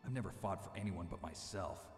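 An actor playing a jaded, stoic, middle-aged mercenary in a video game, gruff, terse and deadpan, with an American accent. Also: male voice, low voice, fairly quick. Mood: bleak, jaded. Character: jaded, stoic male mercenary soldier, hard-bitten loner. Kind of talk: dramatic game cutscene dialogue. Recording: echoey reverberant game audio, music underneath.

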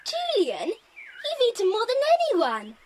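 A woman's voice: extremely high pitch, huge pitch swings, measured pace, background noise, heavily acted. A female performer playing a timid, sensible little English girl, earnest and slightly plaintive, with an English accent in a children's audio drama. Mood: earnest, domestic.

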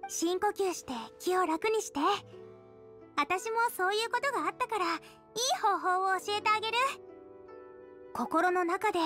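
A woman's voice: very high voice, moderate pace, pushed and bright, soft background music. A woman voicing a cheerful young girl chef, earnest and encouraging, in a Japanese anime-style game. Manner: earnest, girlish, supportive.

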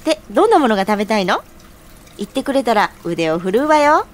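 Lively, high-voiced caring young woman. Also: fast. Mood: caring, eager. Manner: feminine, cheerful, affectionate speech. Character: caring, eager young woman offering to cook for her man. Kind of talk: audio drama acting.

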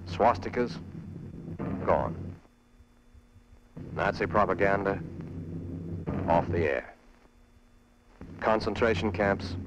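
A man with an American accent narrating a 1940s military propaganda film in a stern, clipped, emphatic way with dramatic pauses. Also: fairly low pitch. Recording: muffled 1940s film soundtrack with music.

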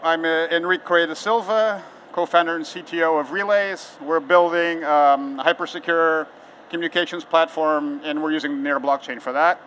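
Portuguese man, forties, American accent, bright higher voice, chatty conference speaker, faint outdoor hum. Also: steady tone, normal speed. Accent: American English. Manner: conversational, many filler words and restarts. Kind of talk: conference talk. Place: large outdoor conference stage.

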